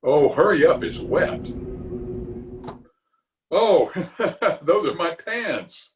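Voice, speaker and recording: A man plays a pompous middle-aged uncle from an old radio comedy. The delivery is very slow and lively in pitch, full of blustery hesitations and muttering, grumbly yet kindly underneath. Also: a little hiss or hum, rough recording. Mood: flustered. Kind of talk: 1940s radio comedy acting.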